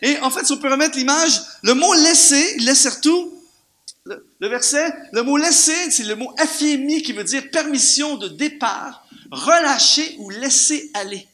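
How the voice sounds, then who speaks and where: A man with a Canadian French accent preaching an animated sermon to a church congregation, firing off rhetorical questions and repeating words for emphasis. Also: extremely high pitch, a little slow. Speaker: guest preacher. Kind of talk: lively sermon.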